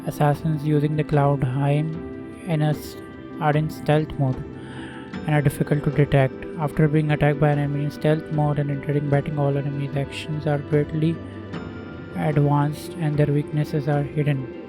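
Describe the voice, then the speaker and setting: A man doing casual gameplay commentary and reading game text aloud in a flat, halting way. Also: fairly high pitch. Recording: game music behind the voice.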